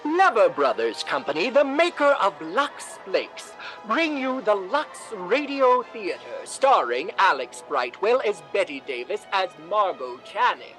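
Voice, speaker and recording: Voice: medium pitch, big pitch swings, measured pace, few pauses, fairly bright tone, soft background music, low-quality recording. A formal, theatrical announcer introducing a radio drama in scripted 1950s style.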